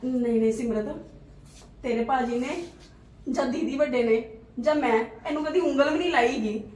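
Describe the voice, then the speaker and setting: A woman playing a patient elder sister-in-law who calmly placates and reasons in a family drama. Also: high voice, normal speed, echoey room, distant mic, natural voice. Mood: placating.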